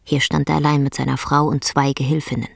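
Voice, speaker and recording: A woman narrating a literary radio play in a measured storytelling delivery. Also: sits low, level tone, vocal fry, no room sound, clean studio sound. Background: faint music.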